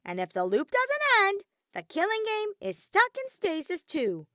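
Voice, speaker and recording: A woman's voice: very high-pitched, huge pitch swings, unhurried, fairly bright tone, no room sound, clean studio sound, pitched above the performer's own voice, exaggerated voice. A woman voicing a mischievous, flippant robotic bear villain in a dramatic audiobook reading, theatrical, grumbling and cartoonish. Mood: matter-of-fact. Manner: matter-of-fact, theatrical, grumbling.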